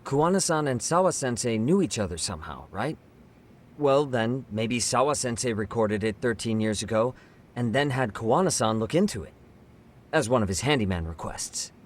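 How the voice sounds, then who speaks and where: A man's voice: mid-range voice, animated, normal speed, a little hiss or hum, lightly acted. An actor playing an easygoing, curious male sidekick in a video game, talking casually and asking questions.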